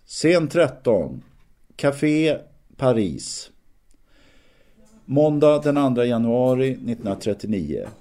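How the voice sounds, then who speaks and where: A man's voice: medium pitch, big pitch swings, long pauses, warm tone. A man reading out scene headings as the announcer of an audio drama.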